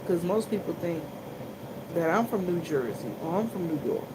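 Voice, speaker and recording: A woman with a fairly low voice talks to her viewers in a casual, animated, colloquial storytelling style. She sounds like a vlogger recording at work, with clear humming noise behind her voice. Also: normal speed. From Washington, D.C. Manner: animated, colloquial, acts out other people's remarks. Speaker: vlogger and gas station worker. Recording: noisy room with loud hum. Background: loud humming background noise.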